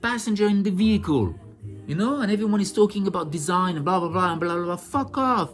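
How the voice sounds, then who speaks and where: Blunt, cursing man over soft music. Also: sits high, animated, fast talker. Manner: blunt and profane, building to impassioned outbursts. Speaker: vlogger sharing personal insights. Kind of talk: unscripted personal monologue.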